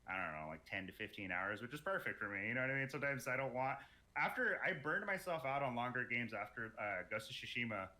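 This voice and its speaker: A man's voice: mid-range voice, animated, fast, bright, forceful tone. A man talking casually as a podcast guest, telling informal anecdotes with some swearing. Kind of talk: casual chat about video games.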